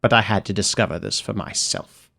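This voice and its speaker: A man narrating a dark fairy tale in the first person, with expressive, dramatic storytelling. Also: on the low side, brisk, creaky. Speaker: audiobook narrator.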